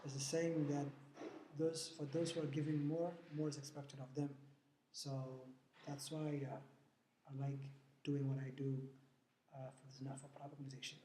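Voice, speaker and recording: A man's voice: a little high, flat delivery, moderate pace, dark tone. Hesitant man in a roomy space. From Somalia. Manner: hesitant, frequent "uh" fillers, earnest. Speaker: interviewee, nonprofit board president. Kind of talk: interview answers. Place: event venue after a fundraiser. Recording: roomy echo, faint background noise.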